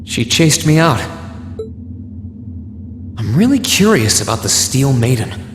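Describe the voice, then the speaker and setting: Earnest young hero, lively mid-range voice. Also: male voice, medium pitch, slow pace, soft background music. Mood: pondering. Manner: gentle, sincere, thoughtful. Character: earnest, kind young male military student hero. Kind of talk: dramatic video game voice acting.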